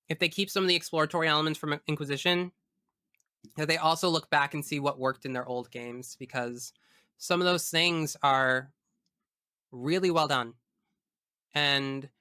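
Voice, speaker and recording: A man with a bright, crisp voice talks casually as a podcast guest. He is opinionated and emphatic and swears freely. The recording is dry and close. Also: fairly high pitch, no room sound. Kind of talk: casual chat about video games.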